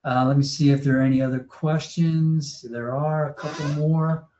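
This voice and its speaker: A man moderating an online panel discussion. He talks in a relaxed way, thinking aloud with fillers and repeats. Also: on the high side, normal speed, slight background noise. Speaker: webinar moderator. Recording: roomy, dull lo-fi audio.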